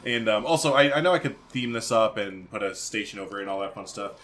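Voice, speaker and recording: A man's voice: on the low side, lively intonation, fast talker, a bit bright. A man hosting a let's-play video, chatting informally and thinking aloud as he plays. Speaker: gaming video host. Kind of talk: casual let's-play commentary. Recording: faint background noise and game ambience.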